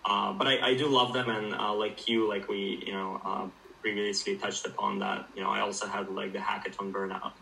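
Young man from Ukraine, bright distant voice. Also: fairly low pitch, flat delivery, fairly quick, gravelly, hardly any pauses, slight background noise. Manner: hesitant, many fillers and restarts, thoughtful. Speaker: guest, startup founder. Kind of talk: casual interview conversation.

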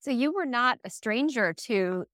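A woman's voice: on the high side, animated, unhurried, a bit bright, no room sound, clean studio sound. A woman hosting a podcast, reading a scripted intro and then asking conversational interview questions. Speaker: podcast host.

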